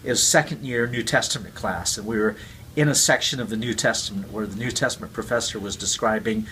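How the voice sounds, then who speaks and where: A man's voice: lowish voice, quick pace, pushed and bright, rough recording. Man, earnest, casual explainer, repeats himself, faint background noise. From Chinese heritage, raised with Cantonese. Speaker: guest speaker.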